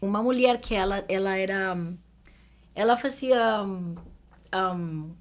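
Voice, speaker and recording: A woman with a foreign accent in Portuguese, a non-native speaker talking haltingly as a guest in a conversational podcast interview. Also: medium pitch. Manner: halting, searching for words. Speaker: guest immigration professional.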